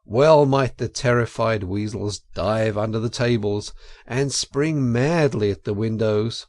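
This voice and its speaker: Measured, creaky man reading a story aloud. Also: mid-range voice, no echo, studio quality. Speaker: audiobook narrator. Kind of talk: scripted storybook narration, dramatic.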